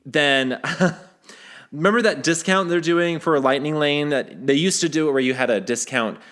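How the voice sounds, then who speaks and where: A man's voice: fairly high pitch, normal speed, a bit bright. A man hosting a podcast, sharing news in a casual, conversational way, teasing his co-host and restarting his sentences as he goes.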